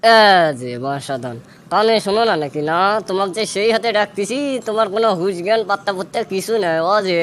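A man's voice: high voice, fast talker, slight background noise, lightly acted. A man with a Bogra accent from northern Bangladesh voicing a brash, impatient young stoner desperate to marry in a comic cartoon, rambling, boasting and complaining. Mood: calling out, annoyed. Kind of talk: comic dialect cartoon voice acting.

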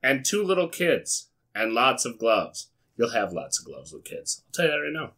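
An American man with a middling pitch and a sharp, bright voice hosts a comedic reading. He moves between reciting and casual commentary, which is deadpan, wry and peppered with swearing. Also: moderate pace. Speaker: YouTube host. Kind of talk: comedic read-aloud with casual asides. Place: small indoor studio with foam panels.

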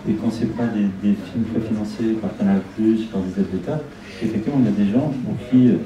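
Hesitant man with a dark, roomy sound. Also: fairly low pitch, brisk, lo-fi sound. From Argentina. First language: Spanish. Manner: hesitant, repeats words while thinking. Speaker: film director. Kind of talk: public Q&A talk. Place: small shop event room indoors with audience. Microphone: handheld mic. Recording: roomy, from a distant camera.

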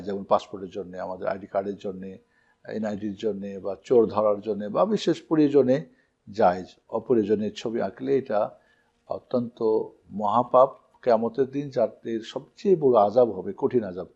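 A muffled, lo-fi recording carries a man's low, dark voice. He is husky and speaks as an Islamic scholar in a question-and-answer talk, teaching firmly and stressing key phrases.

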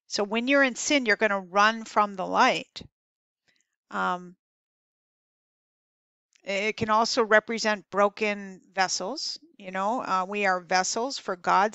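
A woman giving an earnest devotional talk that interprets a dream, reading scripture aloud and pausing on fillers. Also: mid-range voice, bright, forceful tone, smooth, no room sound.

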